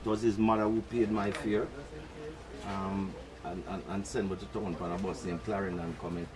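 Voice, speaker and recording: A man's voice: low voice, flat delivery, moderate pace, a crowd in the background, poor audio. An older man with a Jamaican accent, telling rambling stories in patois at a press conference. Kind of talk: relaxed storytelling.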